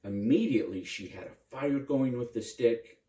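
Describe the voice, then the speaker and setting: A man narrating a children's story in an expressive, simple way, with dramatic repetition. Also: medium pitch, normal speed. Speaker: priest and storyteller. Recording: roomy echo, distant microphone.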